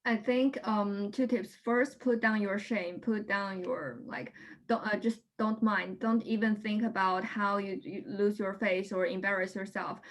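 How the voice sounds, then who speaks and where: A woman's voice: medium pitch, steady tone, unhurried. A woman in her twenties from China, answering interview questions directly with frequent ums. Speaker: guest.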